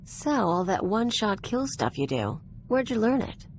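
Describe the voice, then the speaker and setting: An actress playing a brash, streetwise gunslinger in a video game, talking in a casual, clipped, cocky way. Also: female voice, medium pitch, sing-song, moderate pace, slight background noise, close to an everyday voice. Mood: wry, reminiscing. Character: brash, streetwise female outlaw gunslinger companion. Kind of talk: conversational video game acting.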